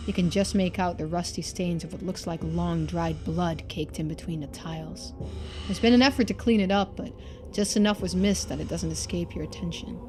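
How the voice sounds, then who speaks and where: A woman streamer giving casual playthrough commentary, thinking aloud and reading game text out. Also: on the low side.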